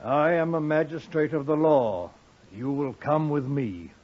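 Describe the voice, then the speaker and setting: An actor playing an authoritative, probing magistrate with a terse, formal, commanding manner in a 1950s radio drama. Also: male voice, a little high, measured pace, natural voice. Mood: stern, commanding. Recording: muffled, noisy old radio recording.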